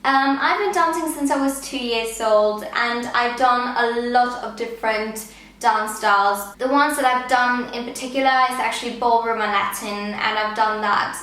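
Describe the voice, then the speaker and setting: A woman's voice: on the high side, normal speed, bright, forceful tone. Chatty young woman, echoey room. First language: Danish. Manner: chatty, many "um" and "like" fillers. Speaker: vlogger. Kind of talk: casual Q&A chat.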